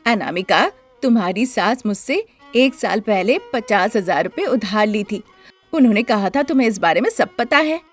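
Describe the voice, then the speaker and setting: A woman's voice: medium pitch, lively intonation, moderate pace, natural voice. Lady doing a pushy woman lender, insistent, storybook tale, music behind. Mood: demanding. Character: firm woman moneylender demanding repayment.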